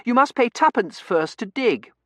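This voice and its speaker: A bossy little Victorian girl, acted by a man narrating a storybook. The pitch sits very high and leaps about. The delivery is whiny, insistent and sure of being right. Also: big pitch swings, moderate pace, fairly bright tone, no room sound, heavily acted. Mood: indignant wailing. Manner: wailing, insistent, self-righteous. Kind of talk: audiobook character acting.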